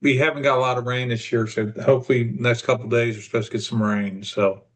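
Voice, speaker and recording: A man from the United States with an average-pitched voice. He talks informally, as a participant in a group chat. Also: flat delivery, fast talker. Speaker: session participant. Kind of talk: casual chat.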